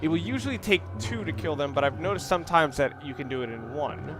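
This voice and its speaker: A man giving casual video game commentary in a halting, rambling way, often rephrasing himself as he goes. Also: on the high side, expressive, music in the background. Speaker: video game let's player.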